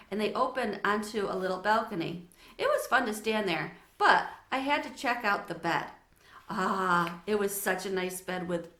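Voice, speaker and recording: A woman narrating a children's story aloud in an expressive read-aloud style. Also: mid-range voice, reverberant.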